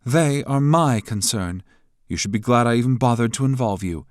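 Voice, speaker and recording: A man's voice: mid-range voice, animated, normal speed, no echo, studio quality, pitched above the performer's own voice, lightly acted. A man voicing a cold, mocking woman official who speaks coolly and sharply. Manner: cool, sharp, condescending.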